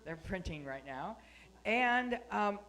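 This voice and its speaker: A woman's voice: medium pitch, lively intonation, slow pace, fairly bright tone. A woman giving live spoken announcements to a congregation, speaking at a microphone in a meeting room.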